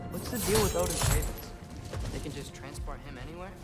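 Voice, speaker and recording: A man's voice: a little high, quick pace, loud music behind the voice, lightly acted. A man playing an earnest, curious teenage son in a video game, talking in a casual, inquisitive way. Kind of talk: naturalistic video game acting.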